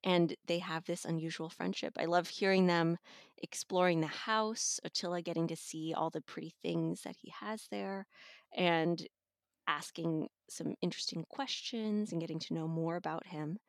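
Low-voiced woman chatting, close dry sound. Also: steady tone, moderate pace, dry recording. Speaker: audiobook reviewer on a podcast. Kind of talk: casual review chat.

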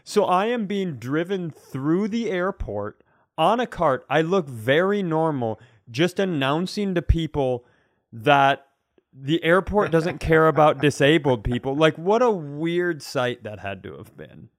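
A man co-hosting a comedy podcast, chatting casually and telling rambling, animated stories with self-aware rants. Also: fairly high pitch, measured pace, dry recording, studio quality.

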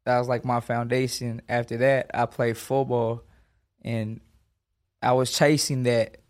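A man in his twenties from Los Angeles talks in an easy, streetwise way as an interview guest. His voice sits at a middle pitch, and he punches his points with emphasis and slang. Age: 20s. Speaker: boxer guest. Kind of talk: casual interview.

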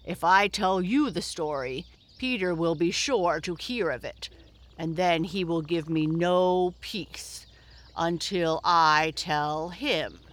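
A woman voicing a gruff old male frog who tells stories, speaking deep and slow. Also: fairly bright tone.

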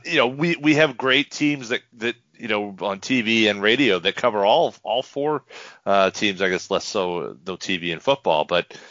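A man co-hosting a podcast, chatting casually about sports in a halting, earnest way, on a dull lo-fi microphone. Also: on the low side, pushed and bright, no room sound. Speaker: podcast co-host. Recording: dry, dull lo-fi microphone sound.

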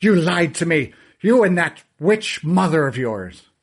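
A man voicing a furious, betrayed young man in an audiobook, shouting his accusations with force. The voice is husky and swings widely in pitch. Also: sing-song, unhurried, heavily acted. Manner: shouting, vehement.

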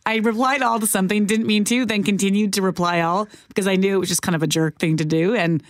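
A woman's voice: mid-range voice, steady tone, fast talker, no room sound, studio quality. A woman co-hosting a radio show in casual banter, blunt and teasing.

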